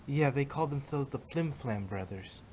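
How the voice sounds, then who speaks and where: A man's voice: mid-range voice, animated, moderate pace, darkish tone, slight background noise, lightly acted. A man voicing an earnest, friendly young female unicorn pony in a fan fiction reading. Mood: explaining.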